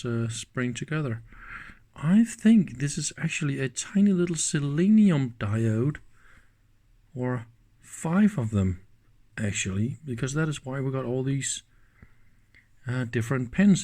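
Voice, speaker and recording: A man hosting a hands-on electronics teardown, thinking aloud in halting, pieced-together sentences as he examines things. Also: mid-range voice, warm tone. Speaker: host of an electronics teardown channel.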